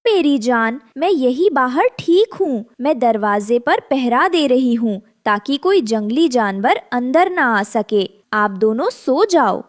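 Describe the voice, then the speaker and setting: A woman's voice: high voice, animated, takes it slowly, few pauses. A woman voicing a devoted, desperate mother bird in a children's cartoon, pleading and tender with emotion. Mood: tender, reassuring. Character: desperate, devoted, gentle mother bird protecting her chicks. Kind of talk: melodramatic children's cartoon acting.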